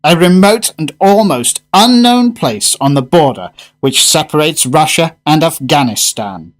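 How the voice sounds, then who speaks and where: A man's voice: on the high side, animated, a little slow. Radio play male actor: eccentric solitary gourmand, formal and florid, grave yet widely swooping intonation. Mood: reverent, confiding. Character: solemn, eccentric solitary gourmet, devoted restaurant regular. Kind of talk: radio drama acting.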